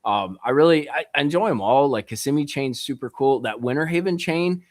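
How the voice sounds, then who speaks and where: A man, a guest angler on a podcast, talking in an excitable, loose way, full of bro and dude. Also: mid-range voice, fairly quick, dry recording, clean studio sound. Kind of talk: casual podcast chat.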